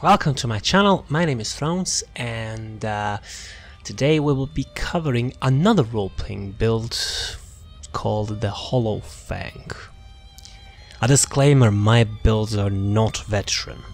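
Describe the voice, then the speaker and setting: A man's voice: lowish voice, expressive, unhurried, faint music behind. A man hosting a gaming video, talking casually through a character build in a rambling, self-interrupting way and often trailing off. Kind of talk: casual game build walkthrough commentary.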